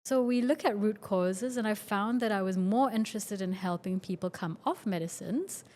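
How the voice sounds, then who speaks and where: A woman in her forties from Singapore, a doctor speaking as a podcast guest in a relaxed, chatty way with many fillers. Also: medium pitch, normal speed. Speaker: guest, functional medicine doctor. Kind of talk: casual interview talk.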